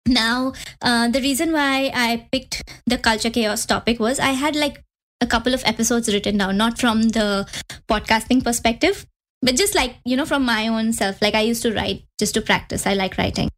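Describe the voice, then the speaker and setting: A woman's voice: fairly high pitch, normal speed, fairly bright tone. A woman in her thirties with an Indian accent, a podcast guest chatting casually, full of "like" and "you know" as she restarts her thoughts. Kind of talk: casual interview chat.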